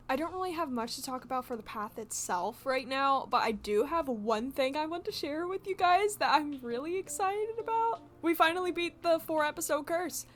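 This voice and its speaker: A young woman with a congested voice, chatting casually and excitedly as she builds in a video game. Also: sits high. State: congested from allergies. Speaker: gaming video creator. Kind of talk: casual gameplay commentary. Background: soft game music.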